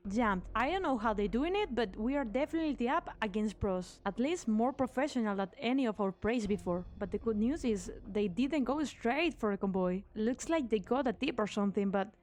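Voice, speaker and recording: Woman acting a high, animated, taunting young mercenary. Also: moderate pace, hardly any pauses, natural voice. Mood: brisk, flippant. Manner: teasing, sardonic. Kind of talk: amateur anime game fan dub.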